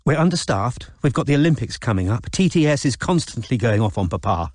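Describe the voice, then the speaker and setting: A man's voice: medium pitch, sing-song, brisk, a little mellow, dry recording, clean studio sound. A male narrator voicing a chatty, upper-class young woman in an audiobook. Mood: gossipy.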